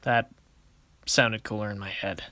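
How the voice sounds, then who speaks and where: A man's voice: lowish voice, fairly even intonation, a little slow, pushed and bright, no room sound. A man voicing a confident, wisecracking young card duelist in an anime fan production, casual and theatrical. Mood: playful. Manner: casual, wry, theatrical when announcing moves.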